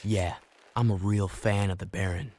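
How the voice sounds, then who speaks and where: A man's voice: fairly low pitch, fairly even intonation, moderate pace, a little mellow, close to an everyday voice. An actor playing a terse, sardonic young male rebel hero in a video game, with a dry manner. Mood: dry, probing. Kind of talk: animated video game voice acting.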